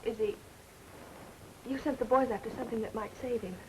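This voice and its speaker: An actress playing a quick-witted, spirited young career woman in a 1930s mystery film, brisk and pert. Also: a little high, steady tone, close to an everyday voice. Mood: rattled, questioning. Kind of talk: 1930s Hollywood film acting. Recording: noisy vintage 1930s film soundtrack.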